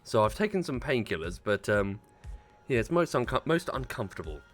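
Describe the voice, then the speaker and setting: Man, hoarse, congested, polite casual streamer chat, animated pitch. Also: soft background music. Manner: polite, chatty, pauses to cough. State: hoarse, congested after chest infection. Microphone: desk microphone.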